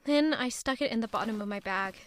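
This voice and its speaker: A woman's voice: a little high, fairly quick, lightly acted. An actress playing an anxious young traveling woman with a secret in an audio drama, tense, guarded and hesitant. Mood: panicked, fretting.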